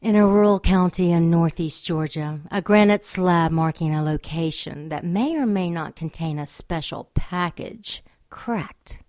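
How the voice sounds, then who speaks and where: An actress as a solemn female narrator of a supernatural audio drama. Her voice is on the low side, recorded very dry and close, with measured dramatic delivery. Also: a little mellow, dry recording, natural voice. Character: solemn storyteller of a supernatural tale.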